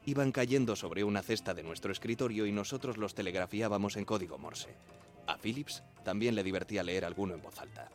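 An actor playing an earnest young radio operator of the 1910s, narrating in the first person in a measured, plain way. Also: male voice, on the low side, natural voice. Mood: measured, reminiscing. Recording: music behind the voice.

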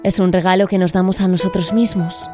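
A woman presenting a guided meditation with a calm, gentle, measured delivery. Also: on the low side, over music. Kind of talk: scripted meditation introduction.

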